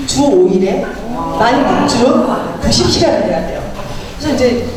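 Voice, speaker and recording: A woman's voice: fairly high pitch, lively intonation, moderate pace, over a noisy crowd. A middle-aged woman with a Gangwon Korean accent, chatting as a guest speaker about a local club and telling her story with many fillers. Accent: Gangwon Korean dialect. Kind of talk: casual talk to a gathering. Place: community meeting room. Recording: echoey, distant, noisy room.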